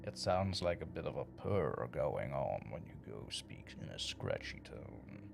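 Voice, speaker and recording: A man's voice: a bass voice, sing-song, measured pace, vocal fry, background noise. A man hosting a livestream, chatting casually and playfully with his audience and reading their comments aloud, often chuckling. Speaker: livestream host reading chat.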